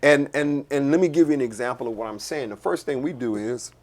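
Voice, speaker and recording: Animated male pastor hosting a discussion. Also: medium pitch, brisk. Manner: animated, repeats and restarts phrases. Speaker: host and pastor. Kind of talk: casual discussion.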